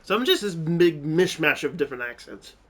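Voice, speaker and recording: A man's voice: on the high side, brisk, slight background noise. A man with a British accent that slips into American sounds, chatting casually and chuckling often while a friend plays a game. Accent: British, with some American vowels. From Britain. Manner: relaxed, chuckles often, many "like" fillers. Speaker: co-host. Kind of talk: casual chat over gameplay.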